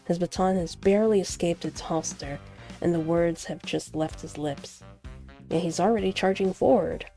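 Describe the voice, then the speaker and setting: A woman reading a visual novel aloud as expressive narration, in the manner of a game player acting out a story. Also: on the low side, moderate pace, soft background music. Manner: acts out character lines while narrating.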